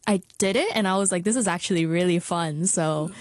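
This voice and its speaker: A woman's voice: fairly low pitch, fairly quick, no echo, studio quality. A woman who grew up in Hong Kong, a singer chatting as a guest with many likes, ums and laughs. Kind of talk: casual interview chat.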